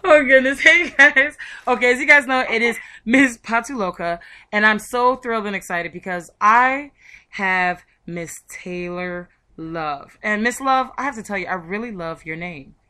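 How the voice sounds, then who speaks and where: A woman hosting a radio interview in a chatty, gushing and excitable manner. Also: medium pitch, moderate pace. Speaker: radio show host.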